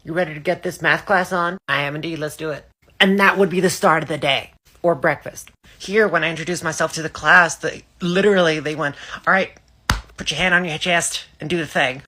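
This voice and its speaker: Woman from Iceland, low voice, bright and forceful tone, blunt and sweary. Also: a little fast. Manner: blunt, sweary, deadpan humor. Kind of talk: casual storytelling to camera.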